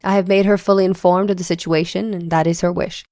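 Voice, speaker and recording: A woman's voice: lowish voice, little pitch movement, quick pace, warm tone, no room sound, clean studio sound, close to an everyday voice. A woman playing a courteous but firm defense lawyer in a formal 1893 trial drama.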